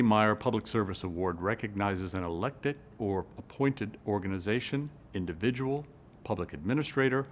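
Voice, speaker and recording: A man reading a formal, scripted introduction as an award presenter. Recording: faint low rumble.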